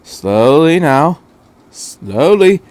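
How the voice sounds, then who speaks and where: A man voicing an eccentric, flippant, fast-thinking male adventurer in a story reading, chattering breezily with little hesitations. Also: fairly high pitch, sing-song, darkish tone, no room sound, full character voice. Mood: urgent. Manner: hesitant filler-laden chatter, breezy understatement.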